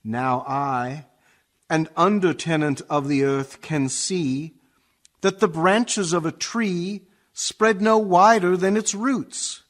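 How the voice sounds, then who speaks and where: A man playing a gentle, reverent old soul of a greenhouse keeper, speaking measured, reflective verse for a radio play. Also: on the high side. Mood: contemplative. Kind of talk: dramatic verse monologue for radio.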